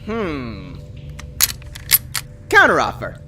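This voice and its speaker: A man's voice: medium pitch, huge pitch swings, extremely slow, distant mic, background noise, exaggerated voice. A man voicing a cocky, foul-mouthed supernatural hunter in an audio drama, sarcastic and taunting. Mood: dry, smug. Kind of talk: dramatized audio fiction dialogue.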